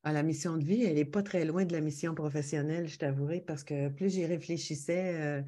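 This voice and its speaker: A woman's voice: low-pitched, steady tone, brisk, warm tone. A woman from Quebec with a Quebec French accent, a podcast guest talking casually with many fillers over a video call. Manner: hesitant, many fillers, laughs easily. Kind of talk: casual conversational interview.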